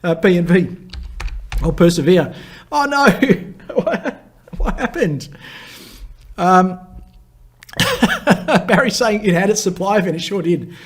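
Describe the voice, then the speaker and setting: A hoarse man who is losing his voice, hosting a live viewer Q&A and rambling through stock charts with lots of fillers and restarts. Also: high voice, very animated. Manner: chatty and rambling, many fillers and restarts, quick laughs. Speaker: market analyst hosting a viewer Q&A. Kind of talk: live chart commentary answering viewer questions.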